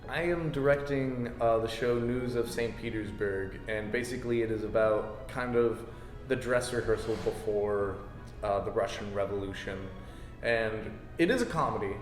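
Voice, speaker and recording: A man's voice: lowish voice, moderate pace. A man giving casual interview answers as the director of a play. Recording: reverberant echoey hall, faint music.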